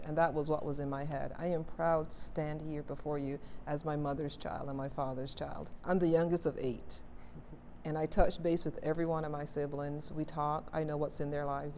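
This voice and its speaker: A middle-aged woman with a low, mellow voice chats as a guest on a panel. She is plain-spoken and direct, with faint noise in the background. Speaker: interviewee. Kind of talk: casual panel conversation.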